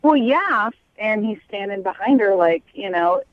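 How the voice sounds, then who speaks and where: A woman's voice: medium pitch, lively intonation, measured pace, over a noisy crowd. A young woman with an American accent, a guest talking casually and a bit hesitantly over a phone call. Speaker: called guest on a radio show.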